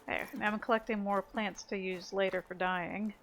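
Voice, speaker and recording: A woman's voice: mid-range voice, fairly even intonation, normal speed, gravelly, background noise. A woman giving casual, chatty video game commentary, thinking aloud as she plays.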